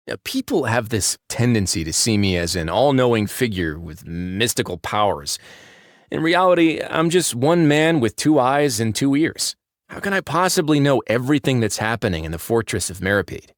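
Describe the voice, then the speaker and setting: An actor playing a calm, wry fortress warden in a video game, with a relaxed, measured, dry-humoured delivery. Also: male voice, lowish voice, dry recording. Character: calm, wry, authoritative male fortress warden, dignified but laid-back. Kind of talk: video game character voice lines, conversational monologue.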